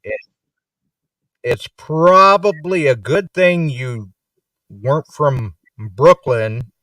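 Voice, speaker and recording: A man's voice: fairly high pitch, extremely slow, fairly bright tone, dry recording, distant mic, low-quality recording. A man co-hosting a casual live chat, mostly agreeing with short replies. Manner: agreeable, brief interjections.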